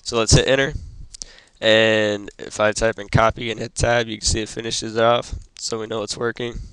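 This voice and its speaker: A young man who is sick and losing his voice, hosting a casual computer tutorial and stopping often with ums and throat clearing. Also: fairly low pitch, a bit bright, no echo. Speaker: tutorial host. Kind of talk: casual screen-recorded tutorial.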